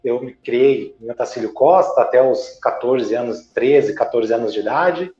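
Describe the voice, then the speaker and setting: Mellow-voiced Brazilian guest in an echoey room. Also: male voice, medium pitch. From Santa Catarina, Brazil. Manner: measured, explanatory, searching for words. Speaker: guest, businessman and journalist. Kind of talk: interview answers. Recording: echoey, dull lo-fi sound.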